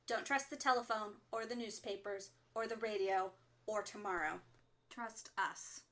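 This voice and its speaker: A woman acting in an audio drama as a fiery, defensive leader of an underground resistance radio broadcast, speaking with forceful emphasis. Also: medium pitch, normal speed, natural voice. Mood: solemn, defiant.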